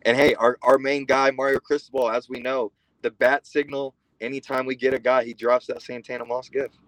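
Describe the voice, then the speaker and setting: A man's voice: mid-range voice, flat delivery, brisk, no room sound, rough recording. A man of about thirty from Florida, a guest analyst talking football with enthusiasm and some repeated starts. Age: around 30. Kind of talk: casual sports podcast chat.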